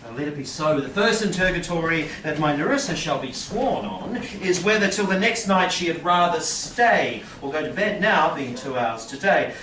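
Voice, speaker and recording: A man's voice: on the high side, expressive, moderate pace, few pauses, sharp tone. A young man playing a cheeky, bawdy bridegroom with jokey declamation in a Shakespeare staged reading. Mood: wry, bawdy. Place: small theatre stage with audience. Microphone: far camera in the audience. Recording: roomy, distant, noisy theatre recording.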